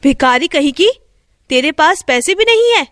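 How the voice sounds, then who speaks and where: Woman playing a bossy, high-voiced cruel schoolteacher. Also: animated, normal speed, a bit bright, no echo, clean studio sound. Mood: bossy, threatening. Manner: bossy, threatening. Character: cruel, greedy, bullying female schoolteacher.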